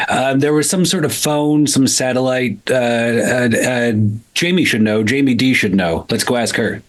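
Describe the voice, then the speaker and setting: A man's voice: mid-range voice, moderate pace, close to an everyday voice. A man playing a wealthy, vague old survivor who talks in a hesitant, muddled way in an improvised game. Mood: muddled, anxious. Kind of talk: improvised in-character roleplay.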